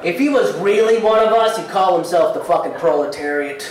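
A young man from India doing live stand-up comedy on a theater stage, ranting in a sarcastic, politely angry way with cursing and quick asides. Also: high-pitched, expressive, heavy background noise. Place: theater stage before a laughing audience. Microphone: handheld mic, heard from far off in the hall. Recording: very echoey theater sound from a distance.

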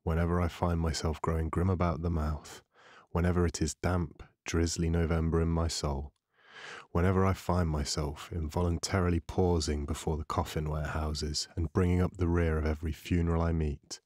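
A man with a husky voice reads a literary novel as an audiobook narrator, in a measured, dramatic storytelling manner. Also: deep voice, level tone, darkish tone, no echo, clean studio sound. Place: dry studio voice-over recording.

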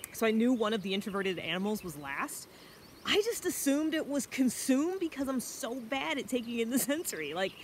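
A young woman talks casually to her camera outdoors. Her voice is mid-pitched, and she explains things in an informal, reflective way. Faint ambient noise sits behind her voice. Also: a bit bright. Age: young adult. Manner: informal, conversational, self-reflective. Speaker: vlogger. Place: outdoors by a river among trees.

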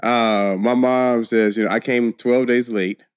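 A man's voice: medium pitch, unhurried, smooth, dry recording. A man in his thirties, a guest actor, telling stories with frequent laughter in a podcast studio on a studio mic. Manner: animated storyteller, laughs often. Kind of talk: casual interview chat. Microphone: studio mic on boom arm, headphones.

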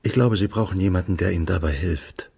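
A low, gravelly, earnest investigator. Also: male voice, fast, darkish tone, full character voice. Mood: earnest, insistent. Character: earnest, concerned male investigator confronting a client. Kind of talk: German radio crime drama acting.

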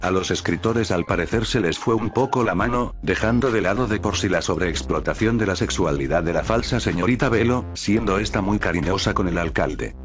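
A man's voice: sits low, a little fast, few pauses. A man reading scripted narration as the narrator of a countdown video. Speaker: narrator of a cartoon top-list video.

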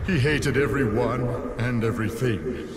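A man's voice: medium pitch, expressive, a little slow, heavy background noise, lightly acted. A man voicing a petulant, scheming mad scientist in a cartoonish video game, theatrical, whiny and exasperated.

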